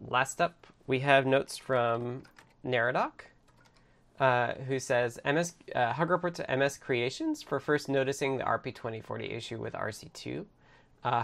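A man's voice: medium pitch, creaky. A man hosting a casual online team meeting, passing the turn along and giving updates, sometimes reading from notes. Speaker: meeting host.

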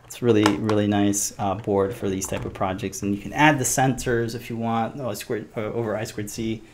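A man's voice: on the low side, little pitch movement, moderate pace. Casual male maker-show co-host over noise. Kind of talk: casual unscripted chat about 3D printing projects.

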